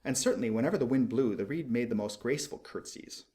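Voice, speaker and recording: A man reading a storybook aloud as an expressive audiobook narrator. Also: mid-range voice, fast.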